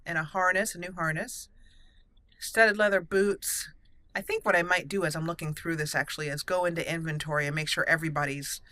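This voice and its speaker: A woman's voice: deep voice, steady tone, fairly quick, bright, forceful tone, no echo. A woman doing casual roleplay game commentary, chatty and thinking aloud as she plays. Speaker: let's play host.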